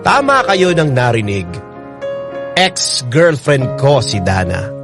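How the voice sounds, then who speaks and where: A man's voice: medium pitch, wildly swooping pitch, slow pace. An actor playing a devoted, heartbroken young man narrating his love story in an earnest, emotive radio drama style. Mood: wistful, resolute. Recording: loud music under the narration.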